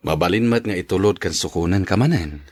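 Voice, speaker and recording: A man with an Ilocano Filipino accent and husky voice playing an affectionate, accommodating husband in an audio drama. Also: sits low, a little fast. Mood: affectionate, obliging.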